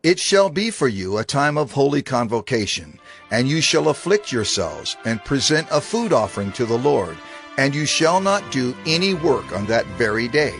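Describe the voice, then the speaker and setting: A man narrates scripture in a deliberate, declamatory style. His mid-pitched voice moves through lively inflections, solemn throughout, with music clearly playing behind him. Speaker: scripture reader.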